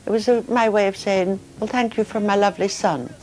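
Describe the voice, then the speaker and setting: An older woman speaking softly and hesitantly in a documentary interview, emotional as she remembers her son. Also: mid-range voice, steady tone, a little fast, poor audio. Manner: soft, hesitant, emotional reminiscing. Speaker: interviewee, mother of the subject.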